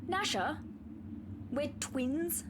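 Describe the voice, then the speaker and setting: A contrarian young warhorse, acted by a woman, argues in a high, lively, swooping voice. The tone is sardonic and fierce, set in a radio comedy. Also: drawn-out words, background noise, heavily acted. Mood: argumentative. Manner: argumentative, sardonic. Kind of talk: comic radio play acting.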